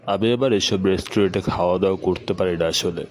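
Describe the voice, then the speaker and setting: A man playing a caring, gently coaxing middle-aged father in an amateur educational cartoon. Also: sits low, fairly quick, natural voice. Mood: gently persuading.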